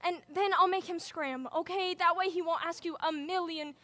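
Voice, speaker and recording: A teenage girl, performed by a woman, speaks to her father in a monologue with a very high, bright voice. She moves from gentle reassurance to frantic pleading and back, with strong emotional swings. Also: steady tone, moderate pace, dry recording. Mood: gentle, chatty. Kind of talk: dramatic monologue.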